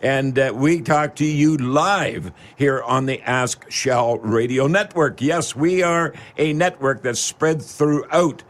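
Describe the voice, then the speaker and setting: A man's voice: mid-range voice, slow pace, hardly any pauses. A man with a Canadian accent hosting a live local radio show, talking in a rambling, folksy way straight to his listeners.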